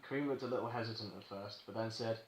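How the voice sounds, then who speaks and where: A man's voice: on the low side, fast talker. A young man reading a story aloud to friends in an amused, informal way. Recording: noisy, echoey room recording with clicks.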